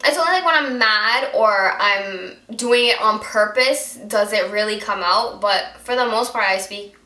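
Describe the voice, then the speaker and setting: Bright young woman chatting in an echoey room. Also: mid-range voice, unhurried. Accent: mostly American, with traces of Guyanese. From Guyana. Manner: rambling and chatty, with many fillers and restarts. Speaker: beauty vlogger. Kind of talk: casual vlog chat.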